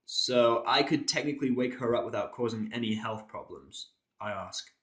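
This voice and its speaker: A man's voice: medium pitch, normal speed, a bit bright, husky. A man reading scripted first-person narration for an audio drama.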